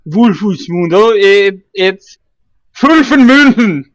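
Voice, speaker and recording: High, lively young man, dry close sound. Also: takes it slowly, dry recording. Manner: dry teasing, precise about word choice. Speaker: podcast co-host. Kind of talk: casual group chat.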